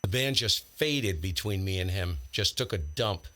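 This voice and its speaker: A man's voice: low voice, expressive, moderate pace, pushed and bright. An American man live-streaming ham radio with casual, blunt, slangy commentary and radio calls. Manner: blunt, slangy, exasperated grumbling.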